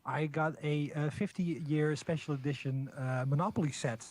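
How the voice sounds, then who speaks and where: A man with a Dutch accent co-hosting a casual gaming news podcast, halting and playful, with many restarts and fillers. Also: a little high, steady tone, darkish tone. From Netherlands. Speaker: podcast co-host.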